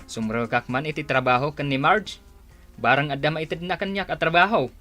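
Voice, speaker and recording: A man's voice: fairly high pitch, normal speed, sharp tone, soft background music. A man acting in an Ilocano radio drama as an earnest, agreeable village man, speaking with an Ilocano accent.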